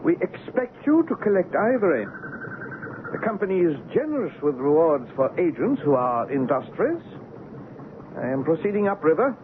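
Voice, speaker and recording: A man's voice: fairly high pitch, sing-song, normal speed. A man playing a stern, businesslike Dutch company director in a radio drama, formal and commanding. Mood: authoritative. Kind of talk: old-time radio drama acting. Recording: muffled old radio broadcast, noisy background.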